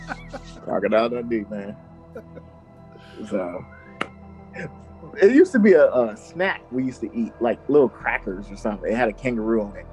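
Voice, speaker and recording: Casting: casual male host, mid pitch, easygoing storyteller, faint music under. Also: big pitch swings, normal speed, long pauses, darkish tone, rough recording. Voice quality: laid-back, laughs often, conversational. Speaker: podcast host telling the story. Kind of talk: casual true-crime chat.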